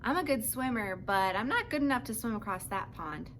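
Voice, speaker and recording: A woman voicing an eager, wide-eyed young gosling in a squeaky voice for a children's storybook. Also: sing-song, fairly quick, a bit bright, a little hiss or hum. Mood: amazed. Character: excitable little boy gosling learning to fly.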